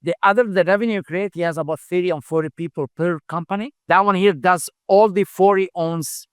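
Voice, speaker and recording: A middle-aged man, a company founder, speaking English as a second language in a halting interview answer style, full of fillers and repeated words. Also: high voice, fairly even intonation, clear, resonant tone, no room sound, studio quality. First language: not English. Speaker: founder and CEO, podcast guest.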